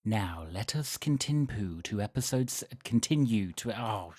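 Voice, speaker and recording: A man's voice: lowish voice, expressive, a little slow, noisy background. A man hosting and judging a tabletop roleplaying game in a chatty, jokey, self-deprecating way. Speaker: host and game master.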